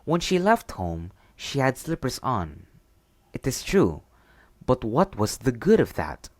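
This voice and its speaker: Guy doing soft intimate asmr bedtime stuff, lilting and expressive, really close dry sound, bit whispery. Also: medium pitch, slow pace, no echo. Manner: soft, intimate, soothing delivery, partly whispered. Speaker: ASMR storyteller. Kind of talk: ASMR bedtime roleplay and storybook reading.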